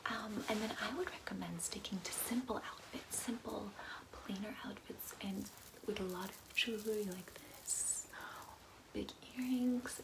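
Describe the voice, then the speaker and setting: A woman whispering a soft-spoken ASMR roleplay as a ditzy, materialistic class instructor, breathy and chatty with girlish, rambling asides. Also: medium pitch, poor audio. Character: ditzy, materialistic gold-digger giving a class.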